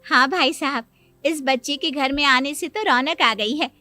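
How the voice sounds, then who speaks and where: A woman playing an excited, affectionate young aunt in a story, gushing cheerfully. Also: high voice, moderate pace, faint music behind. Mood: delighted.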